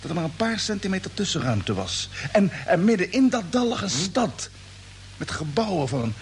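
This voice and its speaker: An actor playing a drowsy, bewildered space expedition commander in a radio play, speaking haltingly and repeating words. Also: male voice, sits high, very animated. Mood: dreamy recollection. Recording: noisy, humming old radio drama recording.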